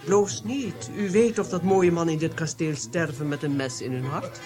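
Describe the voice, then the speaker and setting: An actress playing a haughty, sly princess in a radio drama, speaking in a teasing, insinuating, aristocratic way. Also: female voice, deep voice, moderate pace, natural voice. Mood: seductive, menacing. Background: music behind the voice.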